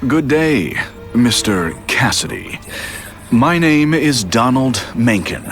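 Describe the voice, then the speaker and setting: An actor playing a smooth, sinister asylum official in a video game, speaking with formal, oily politeness. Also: male voice, mid-range voice, lively intonation, takes it slowly, fairly bright tone, soft background music. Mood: polite menace. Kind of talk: video game cutscene acting.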